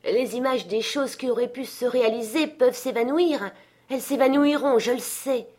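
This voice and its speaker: Woman doing giddy old miser from a storybook, high n husky, all breathless exclamations. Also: moderate pace, a little mellow, lightly acted. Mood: giddy joy.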